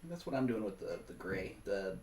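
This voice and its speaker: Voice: sits low, big pitch swings, normal speed, darkish tone, far from the mic. A co-host chatting casually while working at a hobby table. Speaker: co-host of a tabletop miniature painting channel. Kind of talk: casual chat while painting miniatures. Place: indoors at a shared painting table. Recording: echoey room, clear background noise.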